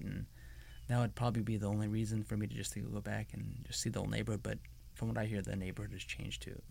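A man's voice: lowish voice, gravelly, darkish tone. A middle-aged man from Iran answering interview questions in a hesitant way, with many ums and restarts.

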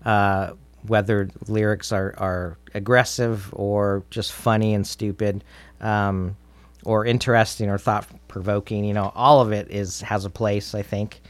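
Low-voiced man chatting casually, close dry sound. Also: flat delivery, unhurried, no room sound. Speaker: musician guest on a radio show. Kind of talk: casual interview chat.

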